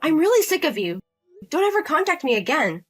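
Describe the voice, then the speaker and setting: A woman doing a shrill, disgusted young wife. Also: big pitch swings, a little fast, faint music behind. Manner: sharp, repetitive refusals, high-pitched.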